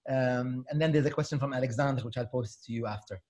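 A man's voice: medium pitch, quick pace, darkish tone, highs cut off. A middle-aged man moderating a panel on a video call, politely reading out audience questions. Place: home study with bookshelves.

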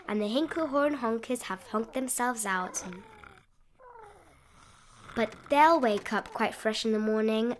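A woman reading a rhyming children's picture book aloud in an expressive sing-song manner. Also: on the high side, slight background noise. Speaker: storybook reader.

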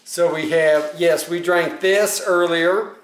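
A man's voice: on the high side, steady tone, takes it slowly. A middle-aged man bantering casually and boisterously, with salty language, in a home video. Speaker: beer taster on camera. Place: large open living room and kitchen at home. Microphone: handheld camera a few feet away. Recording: echoey room, clear background noise.

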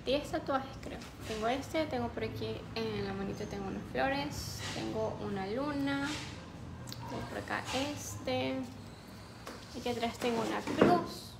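A woman's voice: on the high side, measured pace, creaky, background noise. A young woman from Ecuador chatting casually with viewers on a livestream, reading out their names and answering them. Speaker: livestream host. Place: small room indoors. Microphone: phone on a ring light stand.

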